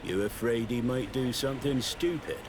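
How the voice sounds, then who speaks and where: A man's voice: medium pitch, normal speed, natural voice. A man playing a worldly, gossipy sailor in a video game, with a knowing, colloquial, insinuating delivery. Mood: sly, gossipy. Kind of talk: video game dialogue acting. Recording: noisy outdoor harbour ambience.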